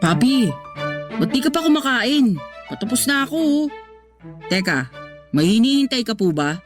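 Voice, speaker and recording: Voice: medium pitch, sing-song, normal speed, natural voice. An actor playing a teasing, protective grown child of a single father in a Tagalog radio drama, playful and coaxing. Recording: music bed under the voice.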